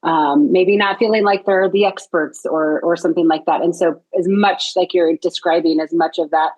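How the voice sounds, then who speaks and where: A woman's voice: lowish voice, fairly even intonation, normal speed, a little mellow, poor audio. A woman hosting a podcast interview, upbeat and enthusiastic, laughing often as she chats. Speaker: podcast host.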